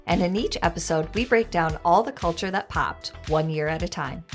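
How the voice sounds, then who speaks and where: A woman's voice: fairly low pitch, normal speed, soft background music. A woman co-hosting a podcast, delivering comedic chat and promo lines. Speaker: podcast co-host.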